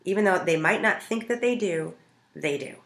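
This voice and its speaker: An American woman from Montana telling stories casually to camera as a home cook, in a conversational, animated way. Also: fairly low pitch, normal speed, creaky. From Montana, United States. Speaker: home cook hosting a food video. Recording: roomy, echoing sound.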